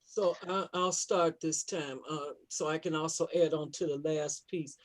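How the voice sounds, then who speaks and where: A man's voice: on the high side, steady tone, measured pace, low-quality recording. A man speaking as a panelist in a plain-spoken, insistent way. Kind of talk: emphatic panel discussion.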